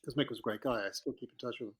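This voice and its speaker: A man in his fifties with an Irish accent, talking in a relaxed, unhurried way as a podcast guest. He is reflective, often pausing and restarting his sentences as he recalls his memories. His voice sits at an average pitch for a man. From Ireland. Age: 50s. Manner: reflective, with frequent restarts and pauses. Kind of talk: relaxed conversational storytelling.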